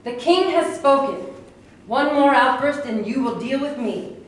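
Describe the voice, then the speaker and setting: A woman's voice: high voice, animated, unhurried. A woman reading the part of a haughty, commanding cavewoman queen in a staged play reading, with an imperious manner. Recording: echoey room, mic far off.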